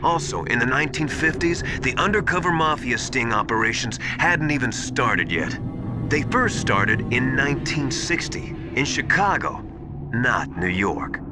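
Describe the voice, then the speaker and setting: A man's voice: mid-range voice, big pitch swings, measured pace, loud music behind the voice. A man voicing an earnest, suspicious military adviser in a video game, explaining things with emphasis. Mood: urgent suspicion. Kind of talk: dramatic video game radio dialogue.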